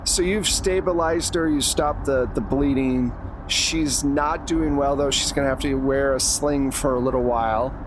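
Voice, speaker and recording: A man's voice: mid-range voice, normal speed, background noise. A man acting as game master, narrating a tabletop role-playing game.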